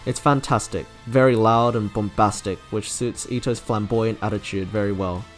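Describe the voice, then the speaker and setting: A man's voice: lowish voice, fairly even intonation, moderate pace. A man giving a scripted review narration as a video host. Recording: music behind the voice. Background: music.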